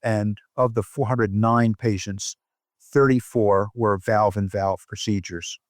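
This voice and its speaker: Measured male medical researcher, dry close sound. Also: lowish voice, steady tone, no echo. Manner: measured, methodical, precise phrasing. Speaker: physician researcher, interview guest. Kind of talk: explanatory research interview.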